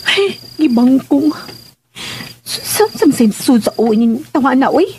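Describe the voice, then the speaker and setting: High-pitched, flustered young Visayan woman. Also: expressive, a little slow, music in the background. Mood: indignant, surprised. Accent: Filipino, Visayan. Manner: exclamatory, stammering, protesting. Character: Almira, flustered, feisty young working woman. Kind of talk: radio drama acting.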